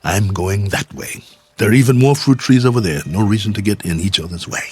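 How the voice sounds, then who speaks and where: An actor playing a stranded space traveler, weary but hopeful, halting and musing in a radio drama. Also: male voice, lowish voice, darkish tone, slightly rough. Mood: wary, muttering. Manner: halting, musing, talks to himself.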